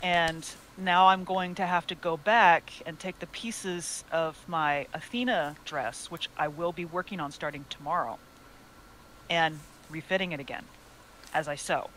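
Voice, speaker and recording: A woman's voice: lowish voice, steady tone, unhurried, a bit bright, rough recording. A woman co-hosting a podcast, chatting casually and at length. She goes into detail and pokes fun at herself. A faint hiss of noise sits under her voice. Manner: chatty, detailed about sewing, self-deprecating. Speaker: podcast co-host. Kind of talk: casual chat.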